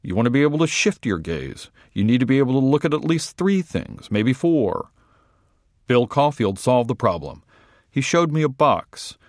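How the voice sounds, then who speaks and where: A man reading a first-person short story aloud in a measured, deadpan manner. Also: medium pitch, no echo, studio quality. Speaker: reader. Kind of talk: literary fiction reading.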